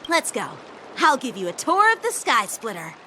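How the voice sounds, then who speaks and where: A woman's voice: on the high side, huge pitch swings, normal speed, pushed and bright, slight background noise, heavily acted, rough recording. A woman voicing an earnest, eager teenage boy, a proud young knight in a video game. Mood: eager, friendly. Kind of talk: English video game voice acting. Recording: music behind the voice. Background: game soundtrack music.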